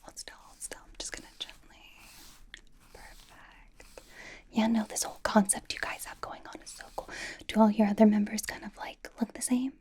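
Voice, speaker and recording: A woman's voice: mid-range voice, flat delivery, normal speed, dark tone, lightly acted. A woman playing a bubbly, encouraging young K-pop idol in an ASMR personal-attention roleplay, whispering breathily in a rambling, giggly, reassuring way. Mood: encouraging, playful. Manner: rambling, giggly, reassuring, casual youthful phrasing.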